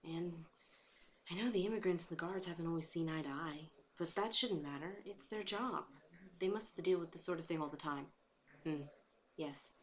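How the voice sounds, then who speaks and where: A woman's voice: on the low side, moderate pace, lightly acted. A woman voicing an earnest, dutiful pony who reasons aloud. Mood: earnest, puzzled. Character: earnest, dutiful law-abiding cartoon pony citizen. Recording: noisy home recording.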